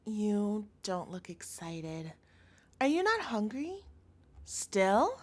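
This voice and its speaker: A woman's voice: medium pitch, big pitch swings, drawn-out words, darkish tone, exaggerated voice. A woman playing a doting, possessive yandere girlfriend in a scripted audio roleplay, coaxing and pleading in a cloying manner. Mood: hurt, probing.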